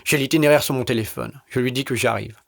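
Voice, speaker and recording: A man's voice: medium pitch, fast, gravelly, soft background music. Understated man narrating a short story. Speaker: narrator of his own audio short story. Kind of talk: scripted first-person literary narration. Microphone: studio recording.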